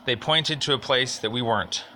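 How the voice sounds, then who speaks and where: A man's voice: mid-range voice, moderate pace, noisy background. A man arguing in an insistent, legalistic and wordy way, as a citizen making a complaint. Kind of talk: argumentative phone call and conversation.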